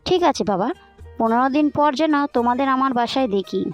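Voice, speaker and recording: A woman's voice: high-pitched, a little fast, soft background music, close to an everyday voice. A woman playing a hospitable, caring middle-aged mother in an audio story drama. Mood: welcoming.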